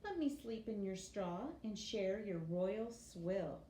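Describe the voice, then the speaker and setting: A woman's voice: medium pitch, expressive, slow pace, mellow, recorded from a distance. Fairytale boy, played by a woman: persistent, coaxing, bargaining, echoey noisy room. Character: pleading, persistent little boy bargaining with a princess. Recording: echoey room with background noise.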